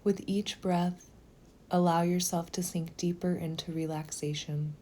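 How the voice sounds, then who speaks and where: A woman leading a guided meditation in a slow, gentle, soothing manner, with phrases sometimes softening toward a whisper. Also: on the low side, steady tone, slight background noise.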